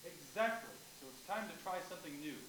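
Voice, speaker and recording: A woman's voice: on the low side, lively intonation, fast, lightly acted. A woman in a staged play reading, playing an earnest professor keen to explain ideas. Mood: insistent. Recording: very echoey room with clear noise.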